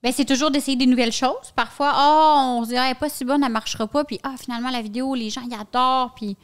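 A woman's voice: sits high, fairly even intonation, fast talker, clean tone. A woman podcast guest speaking in a giggly, upbeat way in a small studio. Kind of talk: casual chat.

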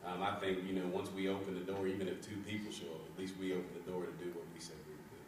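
An earnest man makes his point at a board meeting, measured and full of ums. His voice is very low and flat. It reaches a distant camera through a large echoey room. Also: slight background noise. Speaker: school board member. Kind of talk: meeting discussion. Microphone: far camera.

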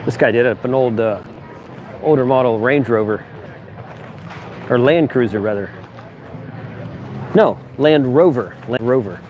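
A man's voice: medium pitch, measured pace, pauses often, muffled recording. A man giving casual, off-the-cuff live commentary as he films a walk around an outdoor expo. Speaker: vlogger filming a live walkthrough of an outdoor expo. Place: outdoors in an expo lot and open tents. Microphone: handheld camera, operator behind it. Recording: outdoor crowd noise behind. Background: crowd chatter.

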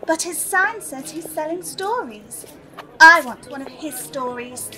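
A woman's voice: high-pitched, lively intonation, bright, forceful tone, heavily acted, low-quality recording. Piercing, bratty little girl. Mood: petulant. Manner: whiny, petulant, drawn-out. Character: spoilt, demanding little rich girl, bratty and whining. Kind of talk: audio drama acting.

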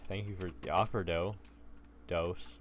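A man's voice: deep voice, fairly even intonation, unhurried, slight background noise. A young man from Ecuador streaming a game on a headset mic, joking and laughing often with a friend. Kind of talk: casual gaming chat. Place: indoor room at a computer.

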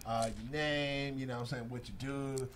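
A man's voice: mid-range voice, steady tone, normal speed, a bit bright, slight background noise. A man, hosting a casual studio interview, laid-back, full of fillers and slang. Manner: laid-back slang, many fillers and "you know what I'm saying". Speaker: radio show host. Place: small studio with backdrop, indoors. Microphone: desk mic on a stand.

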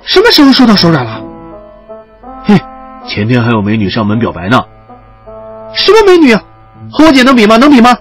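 An actor playing a cheeky, doting teenage student in an audio drama, boisterous and exaggerated in manner. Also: male voice, sits high, wildly swooping pitch, fairly quick, pauses often, faint music behind. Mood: boisterous, indignant. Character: excitable, cheeky teenage boy student, doting younger brother.

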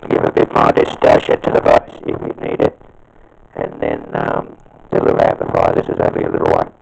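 Australian man, extremely low creaky voice, halting, informal electronics demo, faint background noise. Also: completely flat delivery, lo-fi sound. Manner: halting, hesitant delivery. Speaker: electronics hobbyist presenter. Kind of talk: informal workbench demonstration. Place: indoor workbench.

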